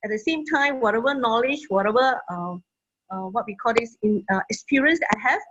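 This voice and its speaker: Husky woman with hesitant speech on a dull line. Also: on the high side, fairly quick, a bit bright. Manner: hesitant, many "uh" fillers. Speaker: community member sharing testimony. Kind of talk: personal testimony on a video call.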